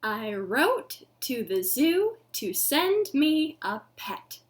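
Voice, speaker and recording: A woman in her thirties hosting an interactive storytime for young children, with expressive, sing-song delivery and exaggerated reactions. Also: sits high, very slow. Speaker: library storytime host. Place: living room at home.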